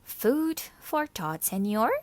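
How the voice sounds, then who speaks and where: A woman voicing a proud, choosy young female cockroach in a storybook, with a dry, crisp way of turning people down. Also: fairly high pitch, sing-song, slow. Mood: icy.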